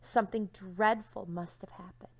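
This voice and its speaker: A woman teacher reading a storybook aloud to young children, dramatic and expressive, with hushed phrases and questions to listeners. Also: medium pitch, moderate pace, slightly rough.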